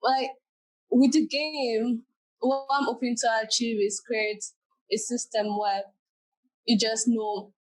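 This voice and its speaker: A woman's voice: fairly high pitch, fairly even intonation, slow, low-quality recording. Young woman, chatty. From Nigeria. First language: Yoruba. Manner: chatty, many "like" fillers. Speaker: guest. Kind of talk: casual interview talk.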